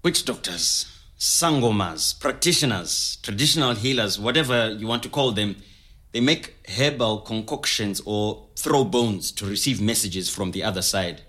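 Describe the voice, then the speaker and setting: A man's voice: fairly low pitch, lively intonation, moderate pace, pushed and bright. An actor playing an earnest, reflective middle-aged Zimbabwean man recounting his childhood in an interview-style audio drama, with a Zimbabwean accent.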